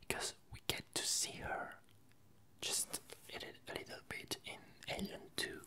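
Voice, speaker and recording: An ASMR creator rambling casually in a soft, breathy, unvoiced whisper, halting and unhurried while searching for words. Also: low-quality recording. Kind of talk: whispered ASMR ramble about games and films.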